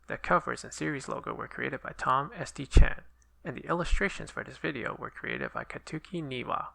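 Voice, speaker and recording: A man's voice: medium pitch, creaky, bright, forceful tone, dry recording. A man, the author hosting the channel, reading scripted closing announcements in a plain, matter-of-fact way.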